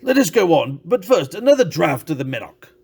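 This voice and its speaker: A man's voice: a little high, big pitch swings, brisk, gravelly, a little mellow, breathy edge, full character voice. A man playing a boastful, gullible, tipsy nobleman in a dramatic story reading, pompous and exclamatory. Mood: insistent, haughty.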